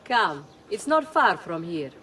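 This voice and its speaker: A woman's voice: lowish voice, very animated, slow pace, pushed and bright, a little hiss or hum, heavily acted. An actress playing a middle-aged noblewoman, a composed and knowing mother in a video game. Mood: wry, composed. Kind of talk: dramatic video game cutscene dialogue. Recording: music clearly behind.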